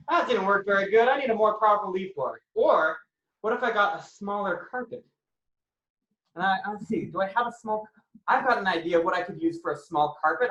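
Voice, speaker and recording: A science presenter with a bright, forward voice gives a casual live demonstration for kids. The delivery is chatty and playful, and the room adds noticeable echo. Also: mid-range voice, highs cut off. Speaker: science show presenter for children. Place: indoor room, live online video call. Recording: roomy echo.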